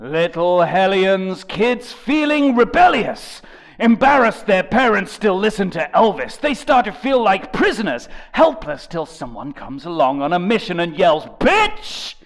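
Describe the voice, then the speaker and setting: A young man performs song lyrics as over-the-top drama, swinging between shouts and hushed low mutters. His voice sits high and carries a gravelly rasp. The pitch leaps all over from line to line. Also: big pitch swings, measured pace. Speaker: comedic dramatic reader of rap lyrics.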